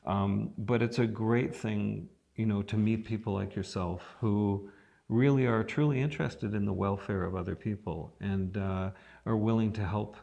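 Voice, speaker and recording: A man interviewing an artist, thoughtful and encouraging, with many pauses and ums. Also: fairly low pitch, steady tone, creaky, warm tone, highs cut off. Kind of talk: interview, conversational.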